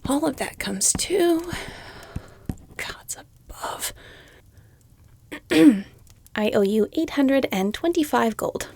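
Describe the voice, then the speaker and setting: A woman's voice: sits high, animated, takes it slowly, gravelly, long pauses, a little mellow, heavily acted. A woman playing a weary, exasperated shopkeeper in a fantasy audio roleplay, reluctantly polite, with dry, muttered sardonic asides. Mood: exasperated muttering.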